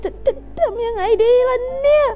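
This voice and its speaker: A woman's voice: extremely high pitch, moderate pace, warm tone, soft background music. A woman voicing a timid, whiny, heartbroken schoolboy in a cartoon fan drama, hesitant and pleading. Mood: desperate sorrow.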